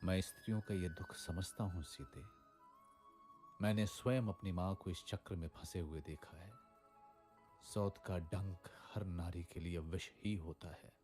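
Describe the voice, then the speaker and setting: Devoted prince played by a man, cartoon epic: low, dark timbre, slow, tender and solemn. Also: steady tone, soft background music. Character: gentle, devoted young prince, loving husband. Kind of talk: mythological cartoon dialogue.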